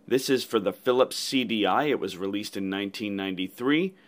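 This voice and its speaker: Low-voiced man commenting on a game. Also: brisk. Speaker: gaming channel host. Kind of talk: casual playthrough commentary.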